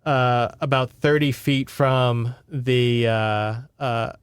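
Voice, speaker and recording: A man's voice: medium pitch, steady tone, slow pace, clean tone, no room sound, studio quality. A man hosting a podcast, giving a casual, rambling, sweary book report in conversation. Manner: informal, sweary, rambling storytelling.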